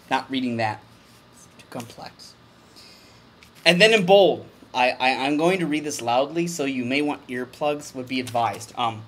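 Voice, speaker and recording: A man giving a mock-dramatic comedic reading of a formal document, with overblown emphasis and deadpan muttered asides. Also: high-pitched, background noise.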